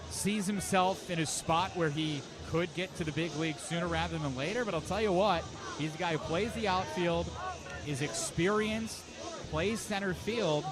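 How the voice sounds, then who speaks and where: A man doing live radio play-by-play of a baseball game as the announcer, talking conversationally between pitches and lifting his voice for action. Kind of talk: live radio sports commentary. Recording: live ballpark broadcast with loud background noise. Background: outdoor ballpark ambience.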